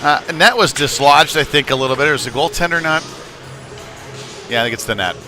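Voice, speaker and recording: A man's voice: on the high side, brisk, over music. A man doing live hockey play-by-play in a folksy, chatty way that lifts with the action. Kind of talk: live sports commentary with casual asides. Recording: noisy live rink ambience.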